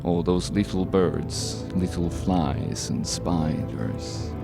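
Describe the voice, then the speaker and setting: Dracula, slow, low, menacing vampire count. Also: male voice, creaky, heavily acted. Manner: formal, taunting, deliberate. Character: suave, menacing male vampire count, imperious and mocking. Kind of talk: theatrical audio drama acting. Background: music.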